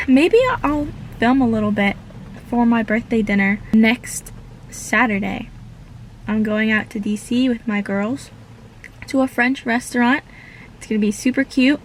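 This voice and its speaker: A woman's voice: on the high side, a little hiss or hum, rough recording. A woman about to turn twenty, vlogging from inside her car in an earnest, grateful, rambling way, using filler words as she talks into her phone. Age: late teens. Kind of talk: reflective casual vlog.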